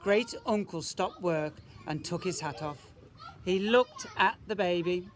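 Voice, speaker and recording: A man's voice: high voice, a little slow, slight background noise. A man reading a picture book to young children as a teacher, emphatically, with rhetorical questions and asides. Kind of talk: animated picture-book storytelling.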